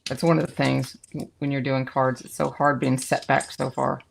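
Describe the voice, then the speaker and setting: Low gravelly Southern woman chatting. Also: level tone, moderate pace. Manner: chatty, thinking aloud, easily amused. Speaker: co-host. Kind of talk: casual livestream craft chat.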